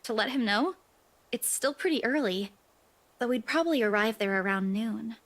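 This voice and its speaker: Concerned young game character, kinda high voice, caring, asks questions, says it straight. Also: female voice, lively intonation, normal speed, fairly bright tone. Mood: worried, caring. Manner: questioning, solicitous. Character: concerned young woman, caring and plain-spoken. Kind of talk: visual novel voice acting.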